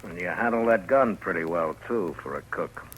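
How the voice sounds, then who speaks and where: A man's voice: low-pitched, lively intonation, normal speed, fairly bright tone. An American man playing a stern, dry-witted frontier marshal in a 1950s radio western, speaking tersely and with authority. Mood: stern, probing. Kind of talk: 1950s radio drama acting. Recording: noisy vintage 1950s radio recording.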